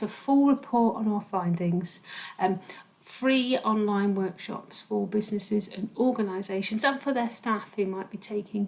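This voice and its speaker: A woman giving an earnest, measured video message as a charity's deputy chief executive, with occasional stammered repeats. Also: medium pitch, creaky, darkish tone, rough recording.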